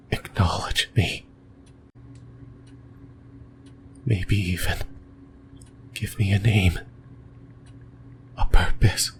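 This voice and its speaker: A man voicing a lonely, possessive sleep paralysis demon in a creepy, intimate ASMR monologue, whispering breathily and haltingly close to the mic. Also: low-pitched, noisy background, heavily acted. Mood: uncertain, longing. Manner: slow, halting, rambling, intimate. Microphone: close microphone, whispering into the ear.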